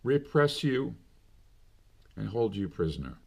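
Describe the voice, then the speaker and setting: A middle-aged man speaks his mind in an unscripted monologue, with long pauses between phrases. His voice sits on the low side, and he takes his time with every phrase. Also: very animated, gravelly, husky. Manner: slow, deliberate, with long pauses. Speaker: commentator.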